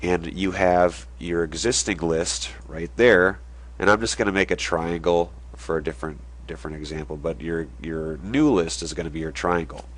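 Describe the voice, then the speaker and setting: Stuffy-nosed man with a very low voice. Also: normal speed. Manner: conversational, drawing on a board while explaining. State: stuffy nose from a cold. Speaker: online marketing video host. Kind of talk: casual explainer video.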